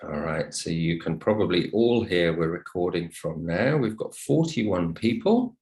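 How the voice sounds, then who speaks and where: A low-voiced man speaks as an English teacher running an online class. He is polite and encouraging as he addresses his students. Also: lively intonation, a little slow, a little mellow. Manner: polite, encouraging, thanks listeners often. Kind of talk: online lesson talk to students. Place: online video call session.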